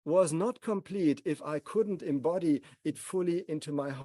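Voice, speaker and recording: Mellow man, slow and solemn. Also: a little high, no room sound, studio quality. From Germany. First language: German. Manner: measured, speaks in short solemn phrases. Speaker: speaker at a gathering. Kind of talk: reflective personal talk.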